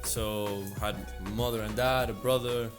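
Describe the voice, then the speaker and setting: Venezuelan-accented man chatting relaxed. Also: medium pitch, over music. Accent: Venezuelan Spanish. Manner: relaxed, laughs easily, trailing pauses. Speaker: guest entrepreneur. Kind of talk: casual interview.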